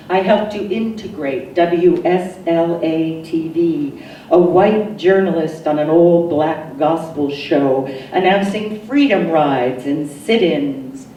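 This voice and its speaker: Older american lady reading poems real slow and solemn, mellow voice, kinda far from the mic. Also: sits low, roomy sound, a little hiss or hum. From Newark, New Jersey, USA. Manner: slow, solemn, deliberate phrasing with pauses. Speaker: poet. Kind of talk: dramatic poetry reading.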